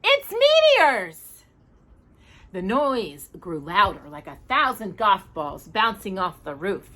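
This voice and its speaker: A woman narrating a children's storybook expressively, in a dramatic, deliberate storytelling manner. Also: on the low side, lively intonation, pushed and bright. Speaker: storytime reader.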